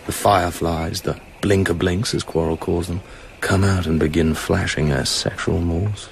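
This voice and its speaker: An actor playing a cool, suave British secret agent in a radio drama, understated and brooding. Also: male voice, deep voice, steady tone, moderate pace, gravelly, a little hiss or hum.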